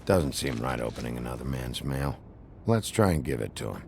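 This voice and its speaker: An actor playing a gruff, world-weary investigator in a video game, terse, deadpan and suspicious, with a gravelly voice. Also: male voice, rumbling low, fairly quick, slight background noise, exaggerated voice. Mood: flat, guarded. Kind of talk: dramatic video game dialogue.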